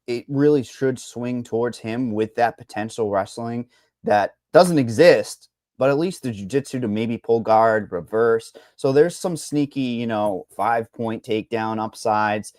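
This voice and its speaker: A man's voice: medium pitch, measured pace, a little mellow, no echo, studio quality. A man with a Boston accent, co-hosting a casual fight betting chat, rambling through odds with blunt, salty asides. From Boston, Massachusetts. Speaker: MMA betting analyst.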